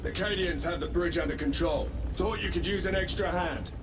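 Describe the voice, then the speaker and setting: An actor playing a loyal, battle-hardened space marine sergeant in a game, speaking in formal military fashion. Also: male voice, sits high, brisk, noisy background, lightly acted. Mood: hearty. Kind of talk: cinematic game dialogue.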